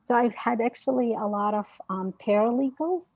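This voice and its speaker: A woman's voice: a little high, moderate pace, a little mellow, no echo. A woman speaking as a guest science educator in an online seminar, earnest and conversational, with frequent restarts.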